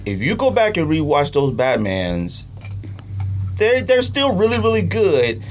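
A man's voice: on the high side, huge pitch swings, measured pace, soft background music. A man chatting casually as a podcast host, emphatic and opinionated, with slangy phrasing and repeats for emphasis. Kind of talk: casual podcast banter about movies.

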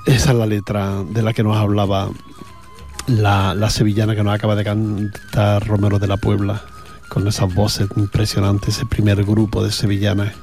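A man's voice: lowish voice, monotone, moderate pace, a little mellow, soft background music. A man with an Andalusian accent, chatting casually as a radio host between songs, rambling with fillers. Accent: Andalusian Spanish. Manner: rambling, chatty, with frequent fillers and repeated words.

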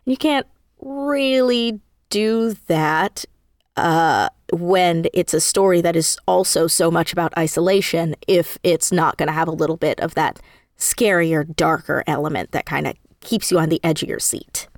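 A woman with a fairly low voice chats as a guest in an interview. She is animated and emphatic, poking fun at herself as she explains. The sound is dry, with very little room in it. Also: a little slow, no echo. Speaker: interview guest, audio drama writer. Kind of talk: casual interview chat.

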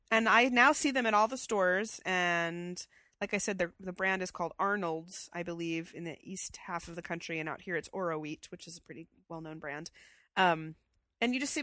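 A woman's voice: lowish voice, moderate pace, pushed and bright, dry recording, studio quality. A woman co-hosting a casual chat show, with a stuffy head cold and a chatty, enthusiastic way of talking. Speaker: podcast co-host.

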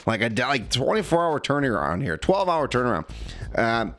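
A man's voice: mid-range voice, sing-song, normal speed, fairly bright tone. A young man hosting a podcast, chatting casually and a little nasally, rambling with fillers. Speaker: podcast host.

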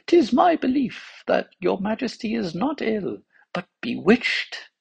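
A man voicing a nervous, eager young court physician in a much higher voice. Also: huge pitch swings, takes it slowly, vocal fry, breathy edge, lo-fi sound, heavily acted. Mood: earnest. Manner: high, eager and urgent.

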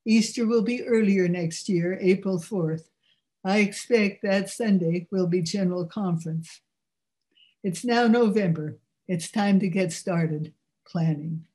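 An older woman with a low voice, speaking earnestly and at a measured pace as she delivers a religious talk. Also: mellow.

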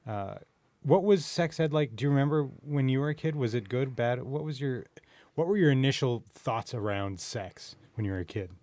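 A man's voice: mid-range voice, lively intonation, moderate pace, darkish tone, no room sound. A man hosting a casual podcast chat, informal and candid, asking questions and swearing freely. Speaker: podcast host.

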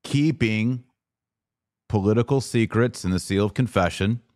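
A man hosting a podcast, asking questions and thinking out loud. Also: on the low side, unhurried, no room sound, studio quality. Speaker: podcast host. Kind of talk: casual podcast conversation.

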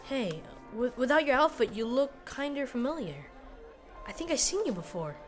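Woman as tough little Western boy, American, blunt country talk, cheeky, animated pitch, radio drama, music underneath. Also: normal speed.

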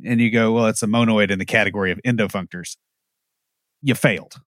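A man's voice: fairly low pitch, steady tone, quick pace, a bit bright, no echo, studio quality. A man co-hosting a podcast, giving blunt, wry opinions and anecdotes. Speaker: podcast co-host. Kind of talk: casual opinionated chat.

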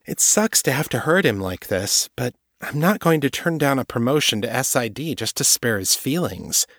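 A man's voice: medium pitch, animated, normal speed, fairly bright tone, dry recording, studio quality, pitched above the performer's own voice. A man voicing a blunt, frustrated young woman police detective who speaks glumly. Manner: blunt and glum.

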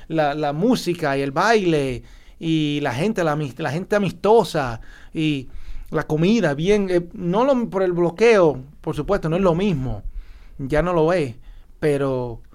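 A man's voice: a little high, animated, measured pace, a little mellow. A man from Puerto Rico telling a group about a trip. He talks enthusiastically, repeats himself and searches for words. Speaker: guest sharing travel experience. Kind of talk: casual group conversation.

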